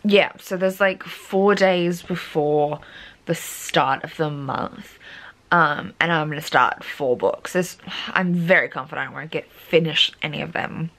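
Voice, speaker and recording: A woman in her twenties, a book vlogger, chatting casually at home with plenty of ums and asides, her voice gone croaky. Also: deep voice, a little slow, a bit bright. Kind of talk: casual chat.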